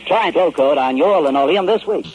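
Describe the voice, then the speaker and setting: A man's voice: fairly high pitch, expressive, moderate pace, highs cut off, faint music behind. A man reading a scripted vintage radio commercial as the announcer.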